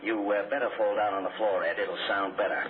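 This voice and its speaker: A man's voice: fairly low pitch, steady tone, fast, close to an everyday voice. An American man acting an exasperated, bossy show producer in 1940s radio drama style, impatiently giving orders. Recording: noisy, tinny old radio recording.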